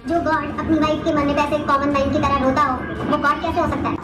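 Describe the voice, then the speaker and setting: A woman's voice: high voice, little pitch movement, brisk, close to an everyday voice. A young actress playing a challenging woman who puts pointed rhetorical questions in a film drama. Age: young adult. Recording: echoey film clip with music underneath.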